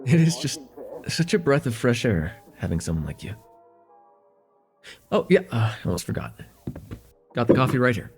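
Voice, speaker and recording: A man's voice: mid-range voice, sing-song, normal speed, long pauses, over music. A young man playing a friendly, forgetful host in a slice-of-life ASMR roleplay, chatting casually and hesitantly with a close friend at home. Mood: fond, flustered. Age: young adult. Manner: hesitant, rambling, chuckling, easygoing.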